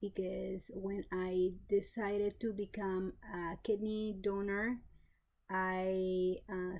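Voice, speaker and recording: A woman in her thirties from Peru, casually telling her own story with many pauses and restarts, plain and matter-of-fact. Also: medium pitch, warm tone. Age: 30s. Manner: hesitant, many fillers and restarts. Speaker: kidney donor. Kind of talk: casual personal vlog.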